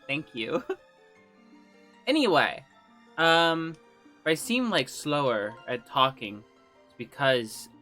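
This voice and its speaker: A man's voice: a little high, bright, forceful tone. A man chatting casually with viewers on a gaming livestream, rambling, trailing off and restarting, his throat a little phlegmy. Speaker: game streamer.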